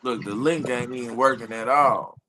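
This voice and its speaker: A man's voice: medium pitch, normal speed, very noisy. A man co-hosting a casual livestream, talking bluntly and tough.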